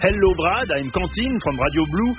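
A man's voice: high voice, expressive, normal speed, over music, voice pushed up. A man playing a clumsy young Frenchman speaking English with a heavy French accent, eager and awkward. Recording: muffled radio broadcast with noise.

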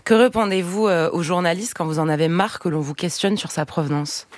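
A woman's voice: on the low side, fairly quick, dry recording. A woman hosting a panel interview, reading out prepared questions with a playful touch.

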